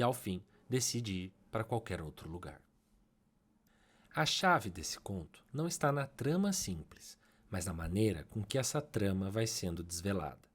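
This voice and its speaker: A man with a Brazilian Portuguese accent reads a literary essay aloud in a low voice. His pitch moves a great deal, lifting on key terms and then dropping back. The delivery is measured and academic. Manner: measured, academic reading with rising emphases. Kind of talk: scripted literary analysis narration.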